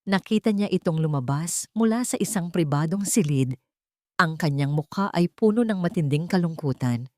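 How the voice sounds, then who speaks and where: Woman storyteller, low voice, dry studio sound, reading a drama script. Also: lively intonation, normal speed, darkish tone, dry recording. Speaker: story narrator.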